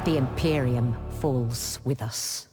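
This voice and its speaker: Game narrator, a solemn female inquisition agent; deep register, weighty delivery, over very loud music. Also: slow. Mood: grave, solemn. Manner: solemn, portentous. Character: grave woman agent of a grim inquisition.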